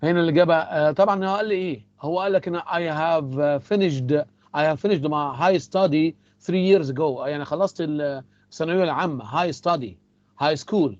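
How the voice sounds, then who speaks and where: Egyptian teacher, high dry voice. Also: male voice, racing, no echo, lo-fi sound. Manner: switches between English and Egyptian Arabic, repeats phrases. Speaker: English teacher. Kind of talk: classroom lecture.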